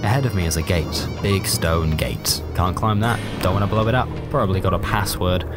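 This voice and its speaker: Deep-voiced man, lively storytelling. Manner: wry, conversational asides. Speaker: storyteller, first-person narrator. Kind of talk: scripted comedic first-person narration.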